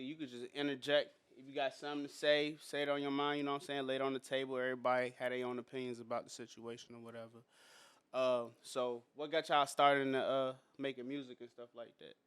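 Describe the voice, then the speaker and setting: Young dude from the dc area hosting, smooth clear voice, chill slangy talk. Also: male voice, mid-range voice, flat delivery, a little fast, fairly bright tone. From Washington, D.C. area. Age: 20s. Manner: laid-back, slangy, many fillers. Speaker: podcast host. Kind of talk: casual interview chat.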